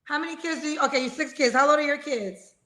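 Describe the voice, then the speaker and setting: A woman's voice: high voice, fast, bright, forceful tone, dry recording. A woman interviewer asking probing, incredulous questions of her guest.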